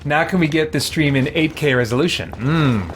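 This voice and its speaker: A man's voice: fairly high pitch, normal speed, a little hiss or hum. A man giving casual live commentary as he plays a video game, thinking aloud in a wry way. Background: video game music.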